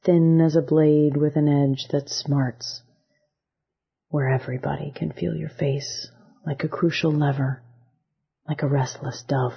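A poet reads verse at a very slow pace in a husky, mid-pitched voice. The recording is a little dull and lo-fi. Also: little pitch movement. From Damascus and rural Georgia, US. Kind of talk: poetry reading. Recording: slightly dull, lo-fi sound.

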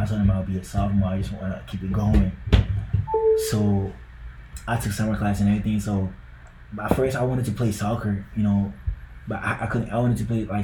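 A man's voice: lowish voice, mellow, reverberant, a little hiss or hum, low-quality recording. A young man from Ghana with a Ghanaian accent, talking loosely on a podcast and filling his talk with likes and bros. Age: young adult. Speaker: podcast co-host. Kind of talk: casual podcast chat.

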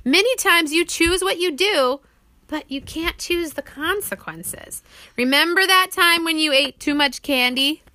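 A woman's voice: very high voice, unhurried, pushed and bright, no echo. A woman reading an audiobook as its author, explaining things simply, as if to young children.

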